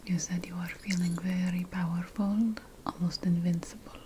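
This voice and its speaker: A woman playing a calm, ceremonial ancient witch priestess in an ASMR roleplay, mostly whispering in a breathy, soothing and deliberate way. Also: fairly low pitch, slight background noise, natural voice. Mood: gentle, attentive. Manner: slow, soothing, deliberate, repeating phrases.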